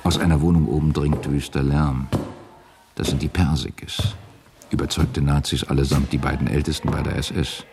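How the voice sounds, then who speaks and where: A man narrating a radio drama from a script in a measured, sober manner. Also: very deep voice, level tone, vocal fry, background noise.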